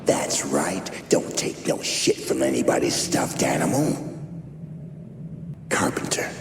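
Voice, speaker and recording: A man playing a bitter, menacing tough-guy specter in a video game, with a gravelly, breathy rasp and slow, sardonic, slangy delivery. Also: rumbling low, big pitch swings, noisy background, exaggerated voice. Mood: gruff, sardonic. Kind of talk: dramatic video game voice acting. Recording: loud music behind the voice.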